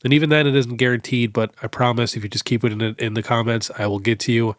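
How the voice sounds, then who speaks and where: A man's voice: mid-range voice, fast, dry recording. Man, young adult, stuffy head cold, casual host, chatty, dry close sound. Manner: relaxed, chatty, many fillers like "you know". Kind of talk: casual Q&A on card collecting.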